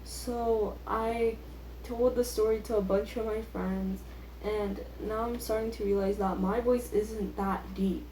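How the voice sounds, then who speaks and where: Teen female vlogger, soft-edged relaxed tone, self-deprecating off-the-cuff chatter, recorded in a reverberant room. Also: mid-range voice, measured pace. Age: teenager. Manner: self-deprecating, filler-heavy youthful talk. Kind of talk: casual rambling chat. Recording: echoey room with faint background noise.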